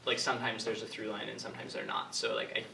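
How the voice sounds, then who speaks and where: A young man answering questions informally as a writer, haltingly thinking aloud and hedging as he goes. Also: on the low side, flat delivery, bright, forceful tone. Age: young adult. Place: indoor room with an audience. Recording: echoey room from far off, clear background noise.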